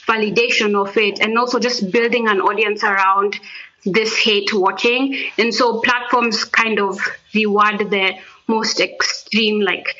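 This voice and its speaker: Female expert, casual explainer, bright tone, lo-fi. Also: mid-range voice, steady tone, a little slow. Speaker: sports psychologist. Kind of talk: interview answer.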